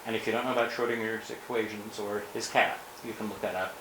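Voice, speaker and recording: A man's voice: on the low side, steady tone, fast talker, background noise. A man in the audience making a wry, joking comment to the speaker. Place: lecture room, audience.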